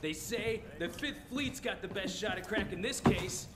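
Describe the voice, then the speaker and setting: A man playing an eager, chummy young adventurer in a game cutscene, brash, friendly and excitable. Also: high voice, normal speed, background noise, natural voice. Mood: keen, curious. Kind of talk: animated game cutscene dialogue. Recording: loud music underneath.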